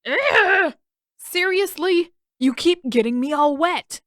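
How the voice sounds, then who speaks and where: Exasperated teenage boy, played by a woman reader, sarcastic, whiny, lively swooping pitch, dry close sound, storybook character. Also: slow, dry recording, full character voice.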